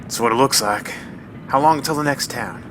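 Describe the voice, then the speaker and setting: A man's voice: normal speed, close to an everyday voice. Man playing a plain-spoken sleuth amid train noise. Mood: measured, deliberating. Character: matter-of-fact male amateur sleuth in Old West. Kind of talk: period radio mystery drama acting. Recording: train rumble behind the voice.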